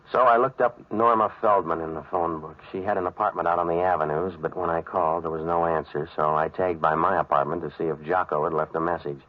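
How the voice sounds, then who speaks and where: An actor playing a cynical, wisecracking private investigator in 1940s radio style, terse, deadpan and sardonic. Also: male voice, low voice. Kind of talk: 1940s radio detective acting with first-person narration. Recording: dull, lo-fi old radio recording.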